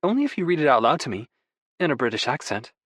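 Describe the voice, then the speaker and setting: A man voicing a tender, playful young wife with a soft, teasing, affectionate manner in audiobook dialogue. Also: mid-range voice, sing-song, quick pace, slightly rough, no echo, clean studio sound, pitched above the performer's own voice. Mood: tender, earnest.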